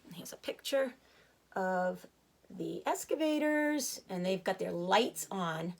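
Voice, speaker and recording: A woman teacher reading a children's storybook aloud in an expressive way. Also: medium pitch, slow.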